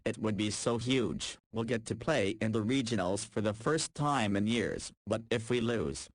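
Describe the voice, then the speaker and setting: A man's voice: on the low side, moderate pace, dry recording, studio quality, close to an everyday voice. A man voicing a teenage boy who plays basketball in a visual novel, talking casually and joking back and forth. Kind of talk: voiced visual novel dialogue.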